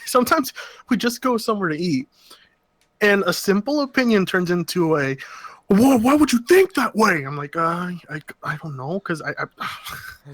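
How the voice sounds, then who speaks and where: A man with a high, bright voice chats casually on a podcast, rambling and restarting his sentences with frequent laughs. His voice is recorded close and dry, with no room sound behind it. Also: no room sound. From Oregon. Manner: rambling, self-interrupting, laughs a lot. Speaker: podcast co-host.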